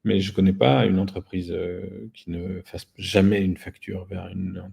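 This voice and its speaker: A man's voice: deep voice, level tone, a little slow, creaky, dark tone, slightly rough. A man speaking as an interview guest, explaining things casually with a wry, self-deprecating manner. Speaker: guest, company founder.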